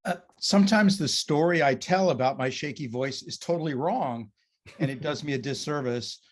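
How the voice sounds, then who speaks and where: A man's voice: on the high side, moderate pace. A man in an online workshop, sharing over a video call in a wry, self-deprecating way. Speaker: workshop participant. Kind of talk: casual sharing in a group call.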